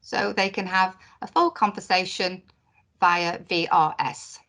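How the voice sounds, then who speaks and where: A woman voicing a deaf presenter's signed conference talk into spoken English, in short phrases with pauses and fillers. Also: fairly low pitch, fairly even intonation, bright, forceful tone. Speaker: sign language interpreter voicing a deaf presenter. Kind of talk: live voiced interpretation of a conference talk.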